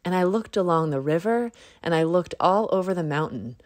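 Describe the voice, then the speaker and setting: A woman's voice: fairly low pitch, expressive, fairly quick, a little mellow, dry recording, clean studio sound, lightly acted. A woman voicing an earnest, hopeful young female bunny in a storybook, speaking simply.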